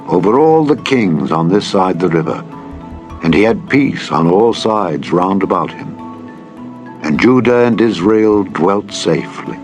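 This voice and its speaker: Male Bible narrator, low voice, solemn, measured, dramatized scripture reading, faint music behind.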